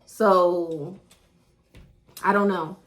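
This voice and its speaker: A woman's voice: lowish voice, steady tone, extremely slow. A woman in her early thirties co-hosting a casual book podcast, dry and mock-offended. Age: 30s. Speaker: podcast co-host.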